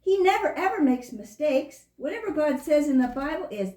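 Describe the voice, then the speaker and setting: A woman's voice: high voice, big pitch swings, moderate pace, dark tone. A woman voicing a friendly, chatty young female skunk in a children's puppet show, earnest as she explains things. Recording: roomy, echoing room sound.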